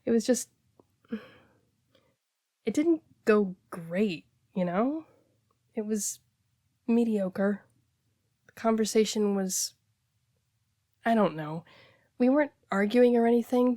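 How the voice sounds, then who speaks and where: A woman playing a casual, blunt heartbreaker friend in an intimate audio roleplay, talking conversationally with hesitant, expressive delivery. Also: mid-range voice, slow, pauses often, no room sound, studio quality. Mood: deflated, wry. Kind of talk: intimate one-sided audio roleplay, naturalistic dialogue.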